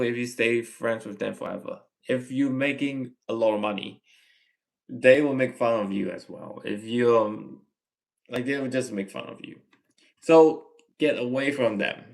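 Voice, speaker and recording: A young man from Malaysia hosting a casual livestream, reading viewer comments and giving earnest advice in a slow, halting way. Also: mid-range voice, creaky. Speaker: livestream host.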